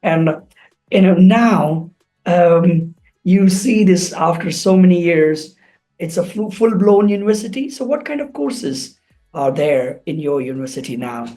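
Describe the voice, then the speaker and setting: Slow, mellow-voiced interview host. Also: mid-range voice, animated, soft background music. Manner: slow and deliberate, with fillers. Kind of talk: interview hosting.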